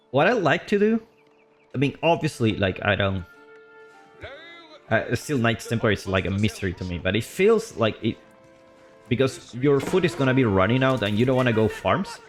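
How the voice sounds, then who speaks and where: Excitable man casting a strategy game. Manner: excitable, voice jumps high on big plays, then calm explaining; loose and chatty, with fillers and restarts. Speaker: streamer casting a match. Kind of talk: live strategy game commentary.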